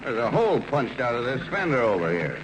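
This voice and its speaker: An actor playing a plain-spoken, curious garage sidekick with an American accent in a 1940s-style radio crime drama. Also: male voice, medium pitch, sing-song, fairly quick, close to an everyday voice. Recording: noisy old radio recording.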